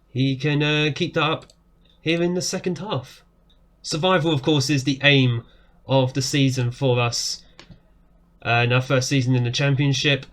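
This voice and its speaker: A man in his thirties talks through a football management game from his desk at home. He is chatty and eager, rising sharply whenever a goal goes in. His voice sounds worn and a little hoarse from talking for so long. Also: mid-range voice. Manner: excitable, jumps up when goals go in. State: voice going hoarse. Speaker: game streamer. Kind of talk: casual gameplay commentary. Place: small room indoors. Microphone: webcam framing at desk.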